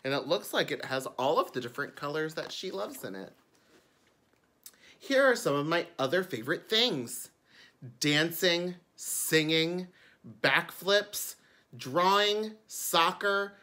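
A man's voice: on the high side, expressive, takes it slowly, bright, forceful tone. A man, a drag queen, reading a picture book aloud expressively for children, with chatty asides. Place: indoors before a curtain.

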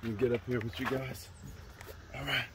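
A man's voice: medium pitch, brisk, background noise. A young man hosting a fishing channel, casually walking viewers through a hands-on demonstration outdoors in a suburban driveway. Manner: relaxed, instructive, addresses viewers directly. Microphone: camera mic, moving toward and away.

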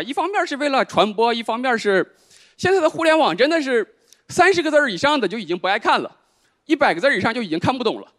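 High, fast-talking male stand-up comic. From Northeast China. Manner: quick, animated deadpan punchlines. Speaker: stand-up comedian. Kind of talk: stand-up set. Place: stage. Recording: stage mic with audience noise.

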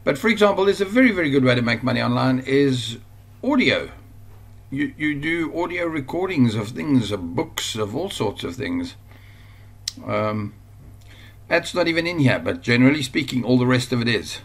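Casting: South African man, mid-pitched, off-the-cuff screen-recorded tutorial, chatty and rambling. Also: expressive, normal speed, breathy edge. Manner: rambling, informal, many fillers and restarts. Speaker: online course instructor. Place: at a computer, voice-over screen recording.

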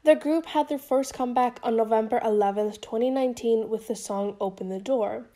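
A woman's voice: fairly high pitch, brisk, dark tone. A young woman YouTuber narrating a scripted commentary in a casual, chatty way, her voice still a bit off from being sick.

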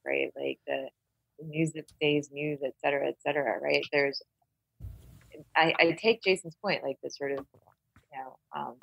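A woman's voice: low-pitched, creaky, fairly bright tone, husky, dry recording, low-quality recording. A woman in a casual literary discussion, thinking aloud as a panelist and often checking in with her listeners.

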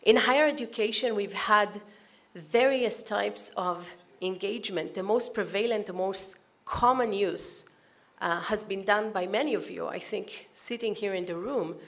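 A woman giving a conversational, explanatory presentation from a podium at a conference hall. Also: mid-range voice. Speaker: conference speaker. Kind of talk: presentation talk.

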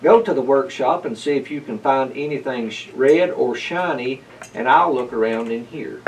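A man's voice: mid-range voice, normal speed, natural voice. A man reading the part of a hopeful, practical injured old man in a storybook, in a plain conversational way. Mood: eager. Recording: distant mic, slight background noise.

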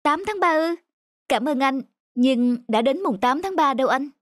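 A woman voicing a sensitive, expressive young woman, an emotional girlfriend in a cartoon. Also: high voice, normal speed, dry recording, clean studio sound. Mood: sweet, hopeful. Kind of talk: cartoon dubbing.

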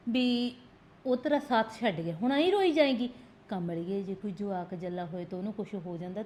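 An actress playing a blunt, protective young sister-in-law in a village family drama, scolding and insisting. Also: female voice, mid-range voice, a little mellow, a little hiss or hum, lightly acted. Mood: firm comforting. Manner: scolding, insistent, repeats demands for attention.

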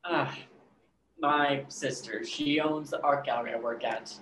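A woman's voice: very deep voice, fairly even intonation, a little slow, a bit bright. A young adult playing an awkward, smitten young man, stammering and hesitant, in a play reading. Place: indoor room, video call. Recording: roomy video call, background noise.

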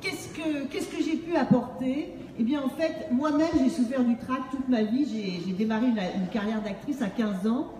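High-voiced woman speaking in an echoey hall. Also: moderate pace, hardly any pauses, dark tone. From Montreal, Canada. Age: middle-aged. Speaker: author and acting-based speaking trainer. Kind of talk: humorous public book presentation. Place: on stage in a large book fair hall. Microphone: handheld microphone through PA, recorded by distant camera. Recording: echoey hall from far off, faint noise.

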